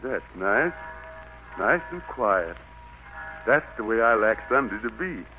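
A man playing the Lord as a gentle but stern, folksy preacher-like figure with a Southern US accent, in 1940s radio drama style. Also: lowish voice, very animated, takes it slowly, soft background music, heavily acted, low-quality recording. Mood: stern, disapproving. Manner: folksy, admonishing. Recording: vintage 1940s radio broadcast.

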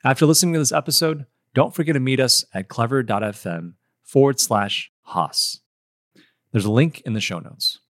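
A man with a medium-pitched voice reads a scripted podcast promo to listeners. The sound is very dry, with no room around the voice. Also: normal speed, no echo. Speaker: podcast announcer.

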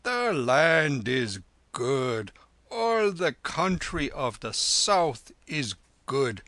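Man as a slow, drowsy old holy man. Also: mid-range voice, sing-song, sharp tone, no room sound, voice pushed up, full character voice. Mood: drowsy wonder. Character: weary, dreamy old holy man, a lama. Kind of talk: storybook character voice.

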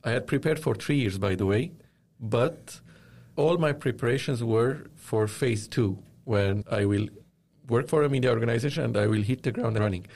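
A man from Lebanon with a Lebanese accent, giving measured, earnest explanations as an interview guest. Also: mid-range voice, creaky, a little mellow. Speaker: interviewed media company founder.

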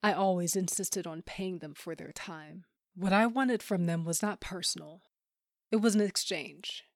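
Audio drama lead: woman acting a bitter, grief-broken widowed monster hunter, low-pitched, intense, very dry close sound. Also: unhurried, no room sound. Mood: bitter, numb. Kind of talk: first-person audio drama narration with acted scenes.

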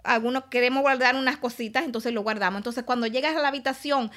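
A woman's voice: a little high, fast talker. A woman with a Dominican accent, a guest on a podcast, animatedly explaining and selling products in casual chat. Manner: animated, salesy, repeats words.

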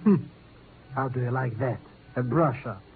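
An actor playing a brash, flirtatious little busybody, breezy and slangy, in a 1950s radio drama. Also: male voice, medium pitch, measured pace, close to an everyday voice. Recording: narrow, noisy old radio recording.

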